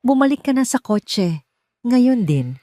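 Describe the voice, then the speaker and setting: A woman's voice: on the high side, very animated, slow pace, dark tone, dry recording, voice pushed up. A woman voicing a furious, controlling husband, angry and commanding, in an audio drama. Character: furious controlling husband, caught cheating, ordering his wife.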